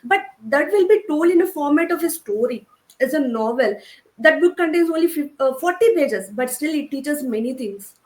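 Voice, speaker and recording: A woman's voice: sits high, expressive, normal speed, darkish tone. A woman chatting as a panel guest in short, plain statements. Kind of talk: casual chat. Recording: echoey room sound.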